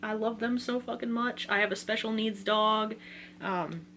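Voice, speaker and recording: An American woman chats informally as a book vlogger, in a medium-pitched voice. She is excitable and rambles into slangy asides. There is some noise and hum behind her. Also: moderate pace. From Virginia, United States. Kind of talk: casual chatty video talk.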